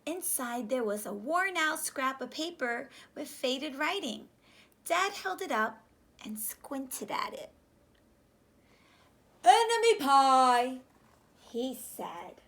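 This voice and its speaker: High-pitched, expressive woman reading a storybook. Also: slow pace. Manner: expressive, addresses listening children with questions. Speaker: teacher reading aloud to pupils. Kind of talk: children's storybook read-aloud. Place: small furnished room indoors, seated on sofa.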